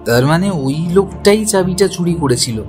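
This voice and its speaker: A man playing a detective's curious companion in an audio story, deliberately piecing clues together. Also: high voice, animated, over music. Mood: curious deduction.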